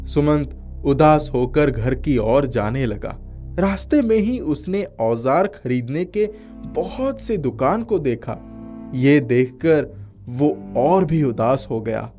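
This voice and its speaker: A man's voice: fairly high pitch, warm tone. A man narrating a scripted moral story as a storyteller.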